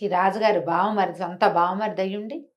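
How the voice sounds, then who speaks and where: A woman's voice: mid-range voice, fairly even intonation, brisk. A woman telling a story in a conversational way, posing rhetorical questions as she narrates. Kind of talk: animated oral storytelling in a talk show.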